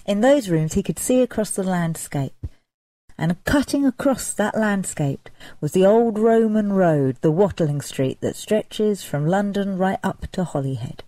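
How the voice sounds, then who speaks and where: A woman storyteller narrating a folk tale dramatically, with expressive, deliberate emphasis. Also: mid-range voice, dry recording.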